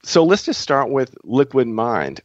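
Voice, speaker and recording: A man's voice: medium pitch, sing-song, unhurried, dry recording, highs cut off. A man hosting a podcast, speaking in reflective monologue and introducing guests. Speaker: podcast host.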